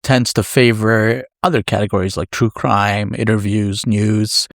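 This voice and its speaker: A man hosting a podcast interview, asking measured questions in short conversational phrases. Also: on the low side, steady tone, vocal fry, no room sound, clean studio sound.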